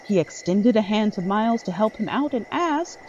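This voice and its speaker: Mellow, expressive female narrator. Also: mid-range voice, moderate pace, muffled recording, a little hiss or hum. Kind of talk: scripted dramatic narration.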